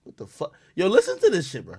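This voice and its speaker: A man's voice: high voice, quick pace, vocal fry, slightly rough. Man, live stream reaction talk, swings up and down a lot, profane, rowdy. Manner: animated, profane and loud, mock-judging with laughter.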